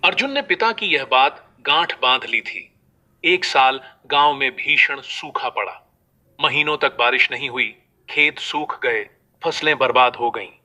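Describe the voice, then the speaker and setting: A man narrating a scripted moral story as a storyteller, in a measured delivery. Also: medium pitch, lively intonation, pushed and bright, low-quality recording.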